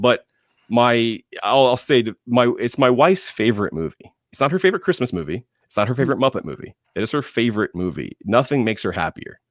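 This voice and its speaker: A man speaking as a panelist in enthusiastic film talk, emphatic, with dramatic pauses and phrases repeated for effect. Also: on the low side, no echo, clean studio sound.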